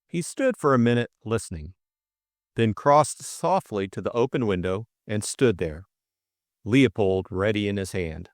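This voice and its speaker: A man's voice: fairly low pitch, animated, a little slow, no echo, studio quality. A middle-aged man reading a classic novel and discussing it as a co-host. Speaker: audiobook reader and co-host. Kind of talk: storybook narration and casual book discussion.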